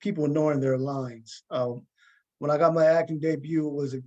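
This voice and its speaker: A man's voice: fairly high pitch, steady tone, normal speed, warm tone, lo-fi sound. A man hosting a talk show, interviewing conversationally and often repeating words as he forms his questions.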